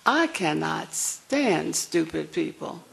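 An older woman with a soft voice speaks on a panel at an unhurried, deliberate pace. Her fairly low voice has a gravelly edge, and she talks frankly with wry asides. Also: very animated. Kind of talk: panel discussion.

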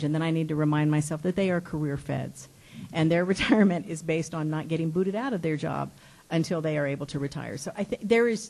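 A woman's voice: low voice, vocal fry, a little mellow. A middle-aged woman from El Paso, Texas, answering questions on a panel in an impassioned, rambling way, with restarts and laughs as she talks.

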